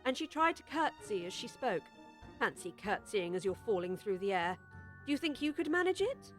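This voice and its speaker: A woman narrating a children's storybook audiobook with expressive, scripted storytelling. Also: mid-range voice, normal speed, soft background music.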